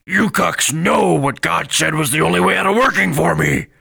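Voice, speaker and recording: Raspy, gravelly little pig demon. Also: male voice, fairly high pitch, normal speed, heavily acted. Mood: belligerent. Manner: pompous, shouting, foul-mouthed. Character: crude bombastic little pig demon demanding worship. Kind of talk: comedy podcast character acting.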